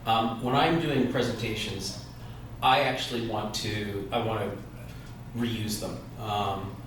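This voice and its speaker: A man in the audience asking a question at a conference, hesitant and full of restarts and fillers. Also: fairly low pitch. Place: conference room, away from the mic. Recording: distant, very echoey, noticeable room noise.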